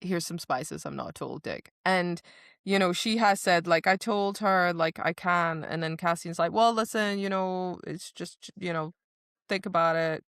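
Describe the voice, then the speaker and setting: Female book podcast co-host, lower-pitched, casual and gushing, expressive with quoted dialogue, dry recording. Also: normal speed, clear, resonant tone, no echo. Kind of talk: casual book discussion chat.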